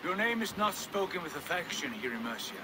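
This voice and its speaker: Defiant Viking chief, swooping intonation, sly courtesy, game cutscene, clear background noise. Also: male voice, high-pitched, very animated, brisk. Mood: taunting, bargaining. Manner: taunting, grandly courteous. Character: proud, defiant Viking leader, sly and bold. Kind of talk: video game cutscene acting.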